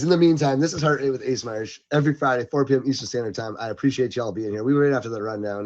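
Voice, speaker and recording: Man hosting a live show, dull lo-fi audio. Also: mid-range voice. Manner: animated, informal, addresses the audience directly. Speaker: live stream show host. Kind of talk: casual live hosting, slangy.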